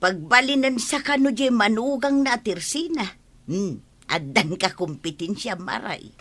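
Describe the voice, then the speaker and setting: A woman's voice: mid-range voice, animated, measured pace, a bit bright. A woman with an Ilocano accent playing a talkative, good-natured village woman in a radio comedy drama. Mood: gossipy.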